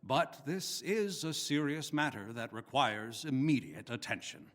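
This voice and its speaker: Bitter older scientist in a reverberant hall. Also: male voice, mid-range voice, lively intonation, a bit bright. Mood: stern, official. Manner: contemptuous, formal, deliberate menace. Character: bitter, vengeful older male scientist, cold and menacing. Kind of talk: dramatic video game voice acting.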